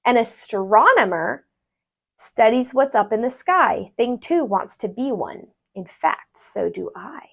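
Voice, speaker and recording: A woman tutor reading a rhyming children's book aloud, expressively and deliberately, with sing-song phrasing. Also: on the high side, expressive, vocal fry, a bit bright, husky.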